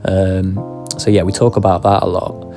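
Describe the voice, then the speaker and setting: Podcast host guy, lowish monotone voice, lots of ums, soft guitar playing behind. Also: moderate pace. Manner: hesitant, filler-heavy. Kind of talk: casual podcast intro. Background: faint guitar music.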